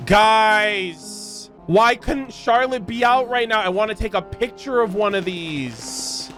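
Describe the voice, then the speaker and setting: Young guy streaming games, slangy n expressive, kinda high voice, faint music behind. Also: slow. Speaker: gaming streamer. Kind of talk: casual live gameplay commentary.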